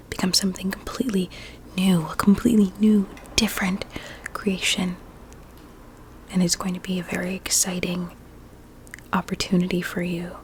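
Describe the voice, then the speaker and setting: A woman playing a sweetly coaxing, sinister doctor in an ASMR roleplay, with a deliberate, soothing and formal manner. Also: fairly low pitch, natural voice. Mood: hushed, persuasive. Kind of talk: whispered ASMR medical roleplay.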